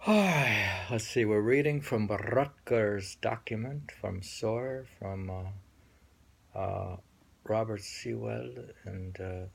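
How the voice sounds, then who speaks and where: A man reading poems aloud with casual commentary, musing and halting as he goes and often repeating phrases. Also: on the low side, vocal fry, slightly rough. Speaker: host reading and discussing poems.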